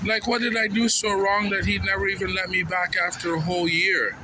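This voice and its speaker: A man's voice: high-pitched, level tone, a little fast. A man doing informal street interviews and commentary in an earnest, repetitive, plain-spoken way. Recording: noisy outdoor street recording with traffic.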